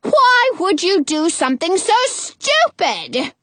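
A woman's voice: a little high, big pitch swings, fairly bright tone, no room sound, exaggerated voice. A woman voicing an angry, bossy old witch who hollers threats and commands in a storybook. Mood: angry, threatening. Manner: hollering commands, drawn-out calls.

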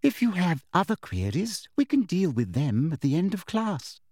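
A prim, patronizing schoolmistress, put on by a man reading a storybook, who talks in a soft, sugary, high voice that rises and falls in a sing-song. Her sweetness never sounds sincere. The recording is dry and close. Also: big pitch swings, moderate pace, no echo, heavily acted. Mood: falsely sweet. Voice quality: sweet, breathy, prissy and patronizing. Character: sugary, condescending female teacher, falsely sweet.

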